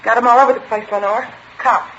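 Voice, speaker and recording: A woman's voice: on the high side, steady tone, fast, fairly bright tone, a little hiss or hum, lightly acted. An actress playing a distraught young woman in love with a robbery suspect, in 1950s radio drama style. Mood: pleading. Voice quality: emotional, pleading. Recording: muffled old radio broadcast.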